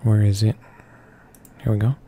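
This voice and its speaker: A man's voice: on the low side, steady tone, normal speed, dark tone, a little hiss or hum. A man reading visual novel narration aloud for his gaming channel, stumbling over words as he goes. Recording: faint music behind the voice. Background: game music.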